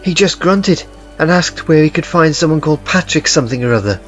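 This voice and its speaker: A man voicing a panicked skinny young man who pleads in a high, frantic voice. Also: expressive, brisk, lo-fi sound, soft background music, lightly acted.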